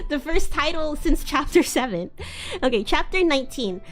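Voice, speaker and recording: High-voiced woman reading theatrically, unhurried. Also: faint music behind. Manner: theatrical, expressive. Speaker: livestream host. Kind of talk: live dramatic reading of fan fiction.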